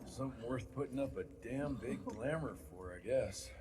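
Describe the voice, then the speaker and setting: A man playing a gruff, impatient Wild West field agent in a video game, blunt and dryly sardonic. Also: medium pitch, lively intonation, moderate pace, natural voice. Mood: brusque. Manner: blunt, swearing, dry. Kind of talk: video game cutscene acting.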